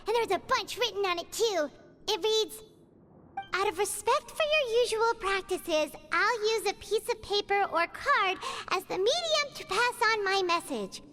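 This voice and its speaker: Squeaky, very high little fairy girl. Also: heavily acted. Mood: eager. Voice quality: squeaky, childlike. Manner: bubbly, expressive, refers to herself in third person. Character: small childlike fairy girl companion, curious, excitable, chatty. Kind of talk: video game voice acting. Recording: music behind the voice.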